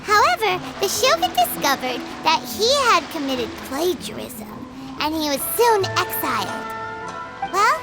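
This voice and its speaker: Squeaky, chirpy fairy sidekick. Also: female voice, sing-song, unhurried, exaggerated voice, poor audio. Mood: explanatory. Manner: perky, exclamatory, squeaky. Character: tiny childlike female floating fairy companion, chirpy and talkative. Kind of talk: anime game voice acting. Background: music.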